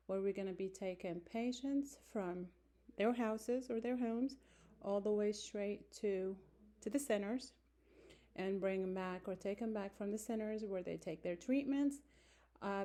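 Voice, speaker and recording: A woman in her forties, from Morocco and raised in France, introducing herself to a class in an unhurried way with many fillers and self-corrections, recorded on a close-held phone in a small room. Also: medium pitch, warm tone.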